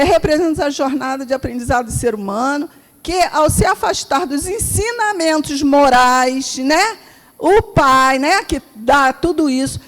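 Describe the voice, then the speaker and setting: A woman with a Rio de Janeiro accent giving an informal spiritual talk at a meeting hall, chatty and laughing easily as she asks the audience questions. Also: sits high. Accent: Rio de Janeiro Portuguese. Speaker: spiritist speaker. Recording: reverberant hall.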